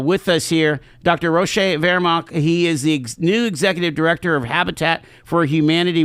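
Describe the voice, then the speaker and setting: Talk show host guy, slightly high voice, clean dry studio mic. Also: smooth, no echo. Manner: upbeat, quick, lots of fillers. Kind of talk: live talk show interview.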